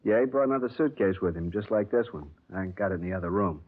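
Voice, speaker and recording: An actor playing a nervous, apologetic male witness who answers in a hurried way in a 1950s radio drama. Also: low-pitched, animated. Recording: tinny lo-fi 1950s radio broadcast.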